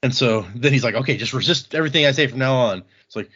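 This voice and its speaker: A man's voice: medium pitch, quick pace, a bit bright, husky, dull audio. A man hosting a podcast, recapping a book in a casual, informal way. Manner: informal, acts out quoted dialogue briefly. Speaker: podcast host.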